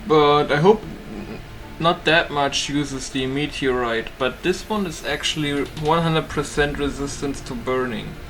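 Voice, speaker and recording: A man's voice: fairly high pitch, measured pace, few pauses, a bit bright, low-quality recording. A man giving casual gameplay commentary, thinking aloud as he hosts a gaming video. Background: game music.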